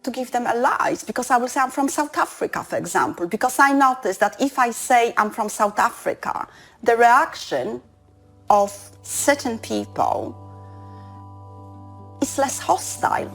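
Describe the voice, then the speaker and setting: A woman's voice: a little high, expressive, normal speed, fairly bright tone, soft background music. A woman from Poland with a Polish accent, speaking reflectively in a documentary interview.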